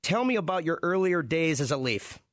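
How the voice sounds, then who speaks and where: A man with a fairly high voice hosts a sports interview on the radio. The sound is dry, with very little room on it. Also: big pitch swings, brisk, a bit bright, no echo. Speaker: radio show host interviewing a guest.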